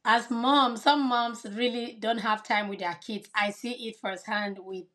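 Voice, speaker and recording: A woman's voice: a little high, fairly even intonation, unhurried, sharp tone. A woman from Cameroon, a kindergarten teacher, answering interview questions in an earnest, explanatory way.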